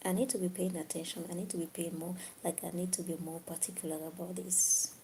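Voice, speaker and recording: A woman's voice: fairly low pitch, flat delivery, quick pace, warm tone, a little hiss or hum. A woman from Cameroon chatting casually with viewers on a livestream, musing aloud and often dropping to a whisper. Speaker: livestream host.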